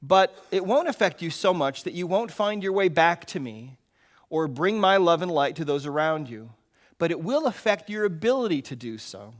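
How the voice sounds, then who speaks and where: A man reading the part of God in a story as a gentle, consoling, patient father, measured and reassuring. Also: on the high side, expressive, natural voice.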